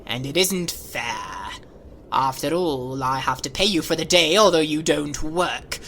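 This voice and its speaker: A woman's voice: deep voice, expressive, unhurried, a bit bright, a little hiss or hum, exaggerated voice. A woman voicing a bitter, miserly old man who sneers at everyone in a harsh half-whisper. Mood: grudging. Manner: sneering, clipped.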